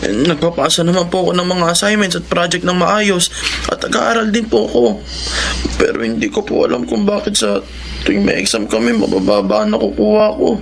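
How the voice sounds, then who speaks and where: An actor playing a dutiful, apologetic schoolboy son in a Filipino radio drama, hesitant and respectful, with a Filipino accent. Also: male voice, sits high, expressive, a little fast, creaky, slight background noise. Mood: apologetic, nervous.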